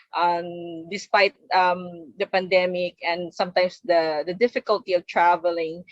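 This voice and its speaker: A woman's voice: lowish voice, no echo. Woman in her 40s on a zoom call, earbud mic, dry and a bit muffled. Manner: measured, many fillers and restarts. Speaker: association president hosting panel. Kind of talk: online panel introduction. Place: home, virtual office background. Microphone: wired earphone mic on video call. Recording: dry, dull video call audio.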